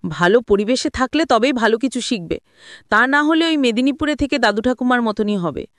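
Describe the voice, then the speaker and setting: Woman playing snobbish middle-aged mother, audio story, scolding, domineering, high voice, dry sound. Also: animated, fairly quick, dry recording, close to an everyday voice. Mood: angry. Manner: scolding, nagging. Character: domineering, resentful middle-aged mother and grandmother, snobbish about village life.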